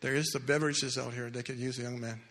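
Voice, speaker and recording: A man's voice: medium pitch, flat delivery, fairly bright tone. Earnest older man in an echoey hall. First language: not English. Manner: earnest storytelling, halting restarts. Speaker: church speaker giving testimony. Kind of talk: personal testimony talk. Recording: echoey hall with long reverb.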